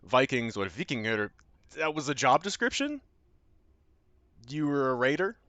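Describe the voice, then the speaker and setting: A man's voice: medium pitch, sing-song, moderate pace, pushed and bright, dry recording, lo-fi sound. A young Canadian man with a Canadian accent, chatting casually with his stream viewers in a rambling, loose way with plenty of fillers and casual swearing. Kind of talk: casual chat with viewers while gaming.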